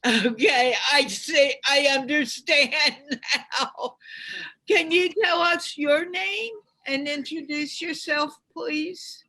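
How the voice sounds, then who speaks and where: A woman hosting a show, asking encouraging questions in a deliberate way on a video call. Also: sits high, pushed and bright. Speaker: host.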